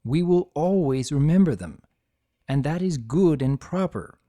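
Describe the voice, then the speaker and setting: A man's voice: fairly high pitch, expressive, measured pace, warm tone. A man hosting a story reading, delivering scripted commentary in an earnest, emphatic manner. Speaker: fan fiction reader and channel host.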